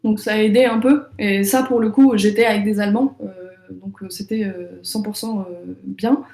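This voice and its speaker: A woman's voice: mid-range voice, steady tone, normal speed, mellow, roomy sound. A young French woman, an illustrator, chatting casually in an interview with many fillers and restarts. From France. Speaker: illustrator and author.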